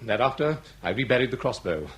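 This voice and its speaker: A man's voice: mid-range voice, big pitch swings, quick pace, a bit bright. A man acting a proud, cold-blooded aristocratic hunter villain in a period radio melodrama, declaiming in florid formal phrases. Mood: grandiose confession. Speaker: actor.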